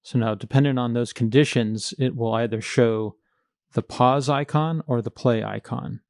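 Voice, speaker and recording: Male coding instructor, mid-pitched with noticeable vocal fry, informal and hands-on, close dry sound. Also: fairly even intonation, moderate pace, a little mellow, no echo. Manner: informal, thinking aloud while working. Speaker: programming tutorial instructor. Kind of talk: step-by-step coding screencast narration.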